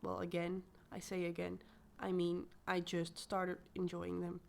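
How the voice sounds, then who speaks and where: A young woman chatting casually about her reading plans, her voice a little croaky from a recent illness, rambling and correcting herself as she goes. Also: lowish voice, completely flat delivery, unhurried, darkish tone. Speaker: booktuber. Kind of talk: casual chat to camera.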